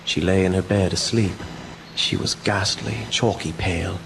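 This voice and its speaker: An actor playing an earnest doctor, narrating formally in a radio drama. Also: male voice, sits low, fairly even intonation, moderate pace, vocal fry, a bit bright. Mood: formal, sombre. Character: earnest doctor recounting his fiancee's mysterious illness. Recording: noisy amateur local radio recording.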